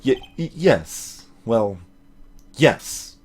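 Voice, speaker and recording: A man's voice: mid-range voice, animated, extremely slow, darkish tone, a little hiss or hum, voice pushed down. A man voicing a timid, earnest young male rookie lawyer in a video game, with a hesitant delivery. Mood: nervous. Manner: hesitant, stammering, muted inner asides.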